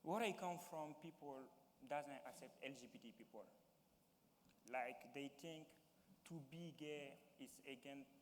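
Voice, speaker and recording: A young man from Mali with a Malian accent, giving halting personal testimony as a town hall panelist and searching for words in English. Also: medium pitch. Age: young adult. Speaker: youth panelist. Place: large hall. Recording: reverberant hall with faint noise.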